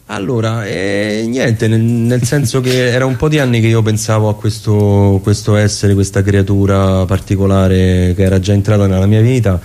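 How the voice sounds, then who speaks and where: A man's voice: on the low side, steady tone, normal speed, hardly any pauses, dark tone. A man with a dryly playful manner, talking casually as a theatre director in a radio interview. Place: radio studio.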